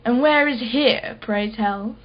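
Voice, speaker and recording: Woman acting as rich female art collector, high-set voice, articulate, haughty, sharp-tongued, radio play, slightly dull audio. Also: slow pace. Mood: wry, playful. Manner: articulate, imperious, sardonic.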